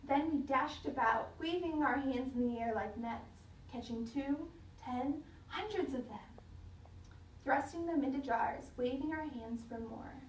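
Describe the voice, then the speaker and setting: A high-voiced woman reads a children's story aloud like a teacher, with expressive, dramatic delivery. She is recorded at a distance from the mic in an echoey room, with faint noise behind her. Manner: dramatic, pausing to question listeners.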